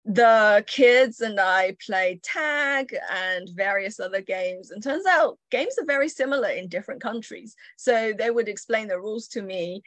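A woman's voice: medium pitch, animated, measured pace, few pauses, dry recording. Female guest from China, reflective storyteller, dry close mic. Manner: warm reflective storytelling, light laughs. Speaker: entrepreneur guest. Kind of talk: interview storytelling.

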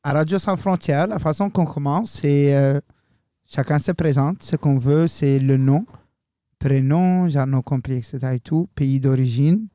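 A man's voice: a little high, a little slow, warm tone, dry recording, studio quality. A young man hosting a student radio show, talking loosely and casually and slipping in English words. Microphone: studio microphone.

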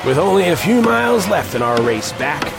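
A man's voice: a little high, very animated, moderate pace, vocal fry. An actor playing a haunted Old West man, solemnly recounting a horror tale in an audio drama. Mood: tense. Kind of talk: dramatic first-person audio drama narration. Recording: dramatic music under the voice.

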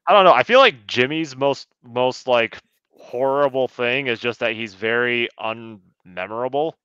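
Male co-host, casual reviewer with a very clean, resonant voice, mid pitch, slightly muffled dry mic. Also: a little slow, a bit bright, smooth, dry recording. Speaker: podcast co-host. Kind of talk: casual film review chat.

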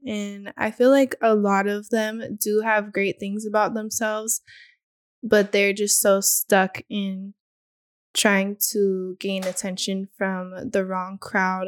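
A woman co-hosting a podcast, reflecting in a level, unhurried way about giving people the benefit of the doubt. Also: medium pitch, no echo, clean studio sound. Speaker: podcast co-host. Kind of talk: casual podcast chat.

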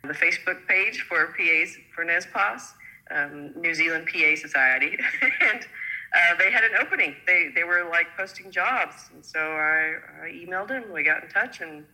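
Chatty American woman, bright forceful voice. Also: on the low side, lively intonation, rough recording. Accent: Oklahoma. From United States. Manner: chatty, laughing often, quick asides. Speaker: guest, physician assistant. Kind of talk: casual interview talk.

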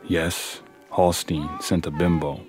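A man's voice: low voice, level tone, slow, darkish tone, soft background music. An actor playing a grave, loyal manservant and right-hand man in an audio drama, deferential and understated. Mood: grave, attentive.

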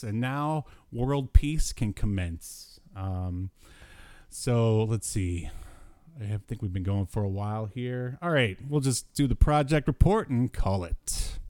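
A man hosting a podcast in casual conversation, talking in a halting, jokey way. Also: mid-range voice, darkish tone, smooth, dry recording. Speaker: podcast host. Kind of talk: casual chat about cartoons and movies.

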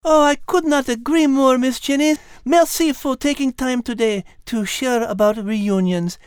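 French female cat co-host: high, polite, prim, clever, for a kids' cartoon-style podcast. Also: measured pace, clear, resonant tone, no echo, clean studio sound. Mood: warm, courteous. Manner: polite, dainty, hesitant when flustered.

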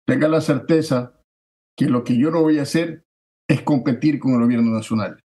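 A man, a political candidate giving forceful, declamatory campaign answers that stack repeated phrases for emphasis. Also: medium pitch, normal speed. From Ecuador.

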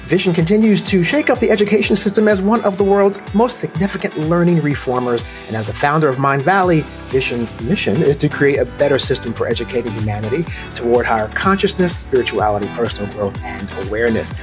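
A man hosting a podcast interview, bubbly and quick to laugh. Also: a little high, lively intonation, music in the background. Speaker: podcast host.

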